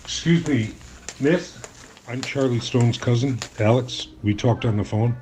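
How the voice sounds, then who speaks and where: An actor playing an earnest, curious journalist in an audio drama, speaking and narrating in a conversational, mildly skeptical way. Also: male voice, mid-range voice, measured pace, a little mellow, a little hiss or hum, close to an everyday voice, rough recording. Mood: polite, concerned. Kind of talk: audio drama acting with first-person narration.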